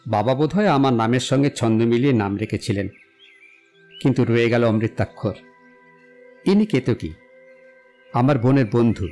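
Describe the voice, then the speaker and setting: An actor playing a confident, witty young gentleman in an audio story, with a playful literary manner. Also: medium pitch, moderate pace, pauses often, faint music behind, lightly acted. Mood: breezy, teasing. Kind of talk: Bengali audio story acting.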